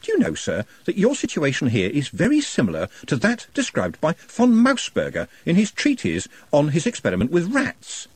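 A bright, bookish young fellow chatters politely about learned things, his voice lifted and eager, as a man puts him on. The delivery is husky and sits dry and close. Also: on the high side, wildly swooping pitch, normal speed, few pauses, no room sound, voice pushed up, exaggerated voice. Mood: cheerful. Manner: chatty and scholarly.

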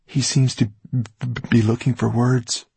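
A man's voice: mid-range voice, little pitch movement, measured pace, vocal fry, slightly rough, dry recording, soft background music, voice pushed up, full character voice. A man voicing a nervous, evasive, mild-mannered man in an audiobook, stammering and hesitant. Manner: hushed, hesitant, timid.

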